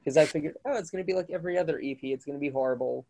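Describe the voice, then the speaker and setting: A man's voice: on the high side, rapid-fire, gravelly, mellow. A man in his early twenties, co-hosting a casual podcast chat, rambling with lots of fillers. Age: 20s. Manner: rambling, filler-heavy, drops into quoted asides. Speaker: podcast co-host.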